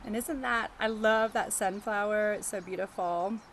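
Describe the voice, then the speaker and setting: A woman teacher doing an animated read-aloud of a picture book for young children, chatting and asking them questions as she goes. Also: medium pitch, normal speed, slight background noise. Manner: engaging the children with questions and tag questions.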